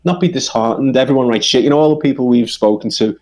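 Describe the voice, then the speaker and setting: A man's voice: medium pitch, quick pace, a little mellow. A man with a Liverpool accent, a songwriter co-hosting a podcast, talking earnestly and repeating himself as he finds his words. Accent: Liverpool English. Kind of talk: casual chat.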